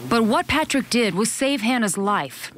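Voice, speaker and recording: A woman's voice: fairly high pitch, expressive, unhurried, fairly bright tone, a little hiss or hum. A woman news reporter reading scripted narration for a television feature.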